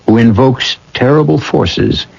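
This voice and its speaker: A man hosting a radio mystery show, narrating from a script in an ominous, theatrical manner with deliberate phrasing. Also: medium pitch, vocal fry, breathy edge. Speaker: host and narrator. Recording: dull old radio recording, loud music. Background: dramatic music.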